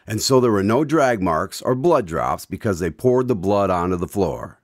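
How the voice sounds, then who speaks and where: A man's voice: on the low side, sing-song, moderate pace, no room sound, clean studio sound. A man hosting a radio drama, delivering scripted questions and announcements. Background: guitar music.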